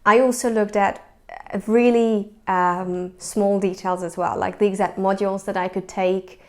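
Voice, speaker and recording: A woman in her twenties, from Hungary, talking through her studies in a relaxed, thoughtful way, with many ums and restarts. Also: medium pitch, measured pace. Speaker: former university student. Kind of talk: casual spoken account to camera.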